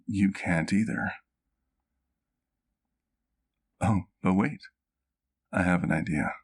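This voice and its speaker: A man playing a courteous, caring vampire doctor in an intimate ASMR roleplay, speaking formally and with measured, old-fashioned phrasing. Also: deep voice, creaky, exaggerated voice. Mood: concerned. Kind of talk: ASMR roleplay, intimate one-sided dialogue to the listener.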